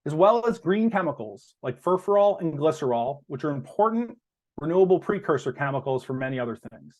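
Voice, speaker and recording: A man speaks as a company executive presenting to investors. His voice sits a little high and moves with very animated intonation. He explains in a deliberate way, leaning on the key terms.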